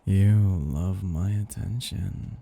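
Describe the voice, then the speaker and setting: A man playing an ancient vampire master in an intimate ASMR roleplay, possessive and gently domineering, with a languid, teasing, velvety delivery. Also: low-pitched, takes it slowly, vocal fry, husky, full character voice. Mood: seductive, menacing. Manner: languid, teasing, condescending endearments, soft chuckles.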